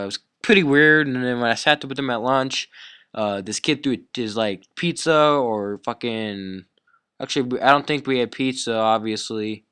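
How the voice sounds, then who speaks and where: A man's voice: mid-range voice, fairly bright tone, clear, resonant tone, dry recording, clean studio sound. A young man telling a story casually as a YouTube storyteller, in a slangy, profane, rambling way.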